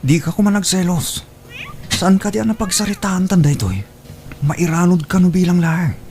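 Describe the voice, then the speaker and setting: A man's voice: high-pitched, moderate pace, darkish tone, a little hiss or hum. A man acting a sly, gold-digging young boyfriend in an audio drama, cajoling as he schemes. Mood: cajoling, scheming.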